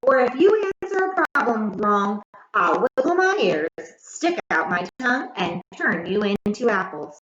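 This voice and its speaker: A woman's voice: on the high side, sing-song, unhurried, a bit bright, reverberant, dull audio. A woman voicing a mean schoolteacher who dislikes children, stern and menacing in a read-aloud storybook. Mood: stern, threatening.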